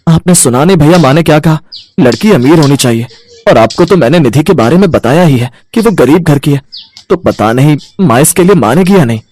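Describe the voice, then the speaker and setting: A man plays a young adult son in a children's cartoon story. His voice is a little high for a man, earnest and respectful as he explains himself. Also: expressive, brisk, a little mellow. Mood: concerned. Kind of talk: Hindi cartoon bedtime-story character acting.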